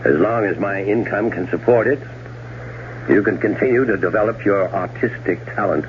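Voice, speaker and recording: An actor playing a cold-blooded, middle-aged scheming brother in a 1970s radio drama, suave, sardonic and deliberate. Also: male voice, lowish voice, natural voice. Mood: smooth, calculating. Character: scheming, cold-blooded older brother plotting his wife's murder. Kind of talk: 1970s radio drama acting and first-person narration. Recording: dull, noisy old radio broadcast recording.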